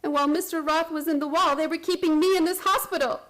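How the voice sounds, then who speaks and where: Actress as obsessed woman patient, high voice, haunted, urgent, theatrical radio drama delivery. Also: fairly even intonation, quick pace, fairly bright tone. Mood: tense, secretive. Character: obsessed, frantic woman hospital patient telling her strange story. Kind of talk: radio play acting, first-person dramatic narration.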